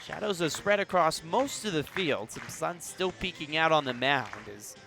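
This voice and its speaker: A man doing live baseball play-by-play as a radio announcer, narrating in a measured way with punchy calls. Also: on the high side, lively intonation, creaky, bright, forceful tone, faint music behind. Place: outdoors at a ballpark.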